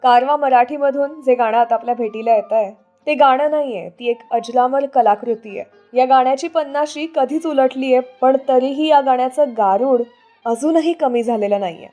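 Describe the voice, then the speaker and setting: Female radio presenter, high register, scripted storytelling over light music bed. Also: moderate pace, warm tone. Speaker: radio show host.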